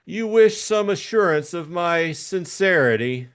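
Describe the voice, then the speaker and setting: A man's voice: high voice, expressive, unhurried, a bit bright, clear, resonant tone, voice pushed up. A man voicing a suave, effete male schemer from a crime novel, with formal, mannered, evasive politeness. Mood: suave, polite.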